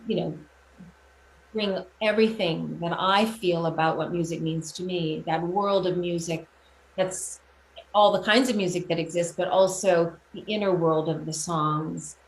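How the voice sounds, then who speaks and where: Middle-aged woman, reflective, in a roomy echo. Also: lowish voice. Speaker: guest, children's music performer and teacher. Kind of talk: conversational interview answers about own career. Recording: roomy echo, clear background noise.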